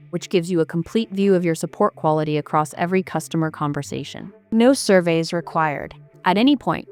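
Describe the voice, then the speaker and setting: A woman reading a scripted product walkthrough voice-over in a clear, even, explanatory way. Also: lowish voice. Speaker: product demo narrator.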